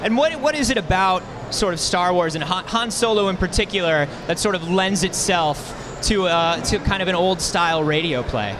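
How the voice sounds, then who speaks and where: A man with a high voice hosts a casual interview at a convention. He phrases questions loosely and chattily, with faint venue noise behind him. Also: lively intonation, normal speed. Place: live convention event with microphones.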